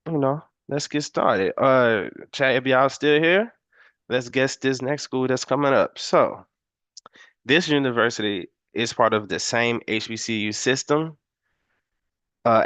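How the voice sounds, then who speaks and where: A man hosts a live stream in a casual way, talking to the chat and quizzing them. His middle-pitched voice sounds dry and close to the mic. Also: fairly bright tone, clear, resonant tone, no room sound. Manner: casual, addresses chat with quiz questions. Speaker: host.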